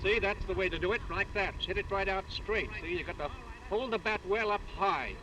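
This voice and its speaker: An American man speaking as a baseball coach in a halting, plain-spoken, informal way on 1930s newsreel sound. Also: high voice, poor audio. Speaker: baseball club figure, coach. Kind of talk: informal pep talk and demonstration for camera.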